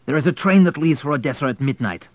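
A man reading an audiobook, voicing a stern, sneering small station master who gives orders in a raised, forceful voice. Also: fairly high pitch, lively intonation, fast talker, no room sound, voice pushed up. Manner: commanding, sneering.

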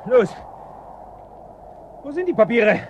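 A man's voice: extremely high pitch, lively intonation, slow pace, a little hiss or hum, exaggerated voice. A blunt, distrustful man of a frozen settlement. He talks curtly and with dry sarcasm, in the manner of a radio play. The recording is somewhat dull. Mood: urgent. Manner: curt, sardonic, distrustful. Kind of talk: German radio play acting.

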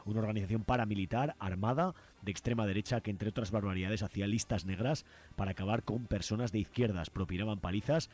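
A man with an accent from Spain hosting a radio show, giving informal commentary and announcements with frequent hesitant pauses. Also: on the low side, a little mellow.

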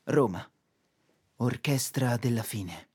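A man's voice: medium pitch, expressive, takes it slowly, a little mellow, husky, full character voice. An actor playing a frantic young man of the suburbs on stage, declamatory. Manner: declamatory, rhetorical. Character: harried, frantic young everyman of the Roman suburbs. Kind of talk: theatrical satirical monologue and dialogue.

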